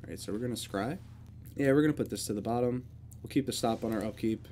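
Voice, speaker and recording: A man's voice: mid-range voice, fast, slight background noise. Congested man casually commentating a card game. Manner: relaxed, thinking aloud while playing. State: congested, sore throat. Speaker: gaming video creator.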